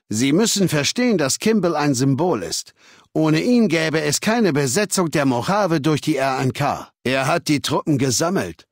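An actor playing a ruthless, learned middle-aged warlord in a video game, speaking in a measured, authoritative, lecturing way with cold arrogance. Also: male voice, medium pitch, dry recording, clean studio sound. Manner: measured, authoritative, lecturing, coldly matter-of-fact. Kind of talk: scripted video game dialogue in German.

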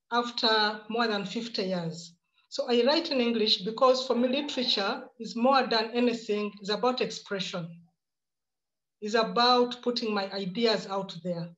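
A Ugandan woman in her fifties speaking on a video call from home, answering a panel question in a measured, reflective way and repeating words as she thinks. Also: on the high side, a bit bright. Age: 50s. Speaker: panelist writer. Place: home room with bookshelves. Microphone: laptop camera on a video call. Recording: muffled video-call audio.

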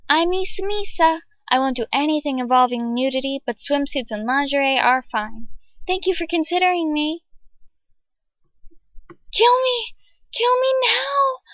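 A bubbly, obsessive teen idol acted by a woman with a high, girlish anime voice and a cutesy, gushing delivery. The recording is a little dull. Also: dry recording. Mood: desperate.

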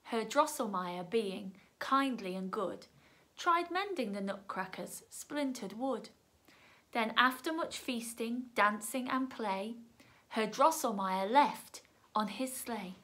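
A middle-aged woman reads a children's story slowly indoors. Her voice is bright and a little high. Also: lively intonation. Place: indoors at home.

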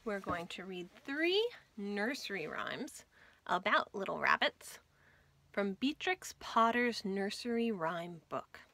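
A woman in her thirties reading picture books aloud as a storytime host, expressive and measured, as if for young children. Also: medium pitch. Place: small indoor room at home with bookshelves.

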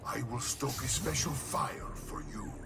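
A massive masked brute from a fighting game. The delivery is slow and ominous with a gravelly edge, over loud music. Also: male voice, medium pitch, animated. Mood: menacing. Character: huge, menacing masked brute villain, coldly confident. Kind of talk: video game voice acting.

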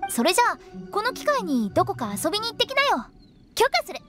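A woman's voice: very high-pitched, wildly swooping pitch, fast, a bit bright, full character voice. A woman voicing a playful, cryptic young female funeral director in a Japanese anime game, with a teasing, theatrical delivery. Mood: encouraging, breezy. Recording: studio voice over background music.

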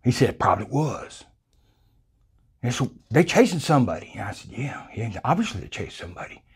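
A man's voice: medium pitch, very animated, fairly quick, slightly rough. An older man with a South Texas accent telling a hunting story in a folksy, colorful way, recorded on a lapel mic in a small room. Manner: folksy, colorful, acts out quoted voices. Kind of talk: casual storytelling.

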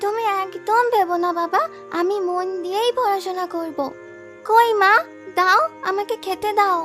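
Woman acting very high-pitched cartoon Bengali woman, music behind. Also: normal speed, fairly bright tone. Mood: eager, dutiful.

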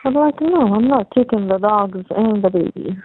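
A young adult woman chatting casually on a phone call, talking in a halting, rambling, repetitive way. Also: medium pitch, animated. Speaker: caller talking with partner. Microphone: telephone call. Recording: tinny phone line with faint noise.

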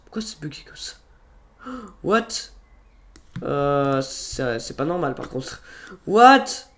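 A man's voice: fairly high pitch, sing-song, slow, a bit bright. A young man with a cold, narrating a casual screen-recorded video-editing tutorial in a rambling way, with many fillers and restarts. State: has a cold. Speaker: tutorial youtuber. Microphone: computer microphone.

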